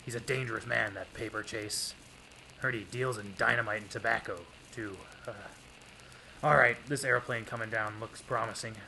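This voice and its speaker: Gravelly, hard-boiled police detective played by a man. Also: on the low side, fairly even intonation, normal speed, pushed and bright, slight background noise, full character voice, rough recording. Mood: businesslike. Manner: hard-boiled, clipped cop talk. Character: tough, seasoned male police detective, old-time crime serial. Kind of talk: 1930s radio drama acting.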